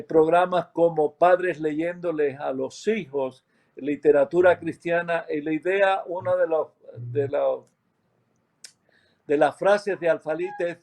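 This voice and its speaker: A man with a Cuban accent tells a church story at an unhurried pace, his voice sitting a little high for a man. He stops often to search for words and fix what he just said, like someone sharing a personal history. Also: a little mellow. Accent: Cuban Spanish. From Cuba. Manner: unhurried, with many hesitations and self-corrections. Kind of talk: slow, reflective testimony.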